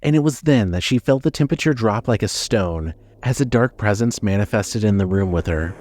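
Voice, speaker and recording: A man's voice: fairly low pitch, lively intonation, fairly quick, darkish tone. A man narrating a scripted horror story with dramatic storytelling delivery.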